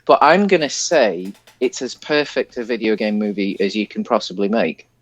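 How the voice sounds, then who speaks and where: British guy on a podcast, kinda low voice, rambles a bit, no room sound at all. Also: normal speed, no echo. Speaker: podcast co-host. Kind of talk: casual film discussion.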